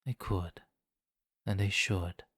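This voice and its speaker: A man voicing a seductive, menacing vampire king in an intimate ASMR fantasy roleplay, slow and commanding, with a condescending, teasing amusement. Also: low-pitched, steady tone, fairly bright tone, no echo, heavily acted. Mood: amused, smug. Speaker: roleplay voice performer.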